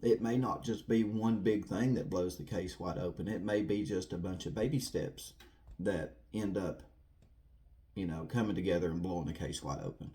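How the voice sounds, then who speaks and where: A man's voice: deep voice, moderate pace, mellow. A man giving casual, rambling commentary to viewers in an earnest, folksy way, repeating himself for emphasis. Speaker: true crime video host.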